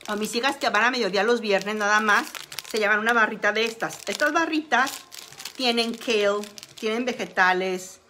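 A chatty woman talks straight to her phone camera in a small room at home. Her voice sits a little high and sounds bright and forward. She rambles casually, filling pauses with fillers as she shows products. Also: a little hiss or hum. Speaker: beauty and wellness vlogger. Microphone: phone camera at arm's length.

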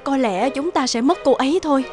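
A woman's voice: high voice, brisk, darkish tone, faint music behind, close to an everyday voice. A woman playing a concerned, earnest hospital medic in a dubbed cartoon drama. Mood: sorrowful.

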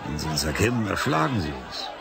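A wary middle-aged father in a radio drama, dry and wry. His voice is fairly low, and a very loud crowd surges behind him. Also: big pitch swings, quick pace, vocal fry, loud music behind the voice, heavily acted. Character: wry, wary wealthy father of grown daughter. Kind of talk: radio play dialogue.

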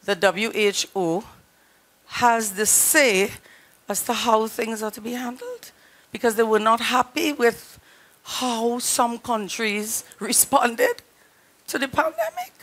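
Middle-aged Trinidadian woman preacher, a bit hoarse, earnest and emphatic, somewhat high voice, headset mic in a small studio. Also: sing-song, takes it slowly, a bit bright. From Trinidad and Tobago. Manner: earnest, emphatic, direct address to congregation. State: slightly hoarse. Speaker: pastor. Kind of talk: sermon.

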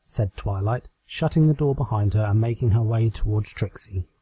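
A man's voice: on the low side, moderate pace, dark tone, breathy edge, dry recording. A British man reading a story aloud as a scripted amateur narration. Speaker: amateur fan fiction reader.

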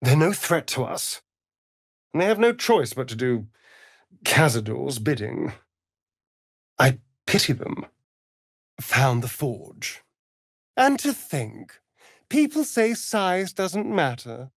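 An actor with a British accent playing a sardonic, vain elven vampire rogue in a fantasy video game, with an arch, drawling, mocking delivery. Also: male voice, on the high side, very animated, slow, pauses often, no room sound, studio quality, heavily acted.

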